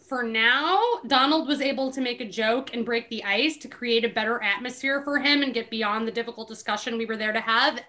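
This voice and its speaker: A woman's voice: fairly high pitch, pushed and bright. A woman host giving a comedic dramatic reading with giggly, sarcastic asides. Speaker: podcast host reading a book aloud.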